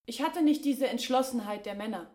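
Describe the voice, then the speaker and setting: A woman's voice: fairly high pitch, a little fast, mellow, lightly acted. An actress playing an earnest woman who reflects on her family's humiliation in a literary radio play. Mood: earnest, pained.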